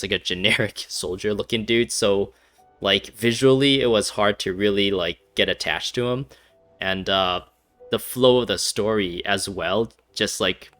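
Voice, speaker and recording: A man's voice: on the low side, bright, forceful tone. A man giving casual, rambling commentary over a video game, with many fillers and frequent laughs. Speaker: gameplay streamer.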